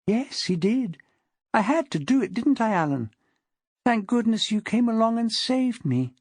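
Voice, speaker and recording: A woman's voice: a little high, lively intonation, moderate pace, dry recording, clean studio sound, voice pushed up. A woman voicing a placating, consoling woman with a sing-song, almost hypnotic delivery in an audiobook. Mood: soothing. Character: placating woman soothing her dangerous ex-husband, sing-song.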